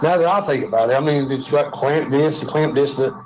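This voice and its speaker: A man's voice: medium pitch, brisk, background noise. Southern man rambling from a hospital bed. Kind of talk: rambling joking chat. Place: hospital room.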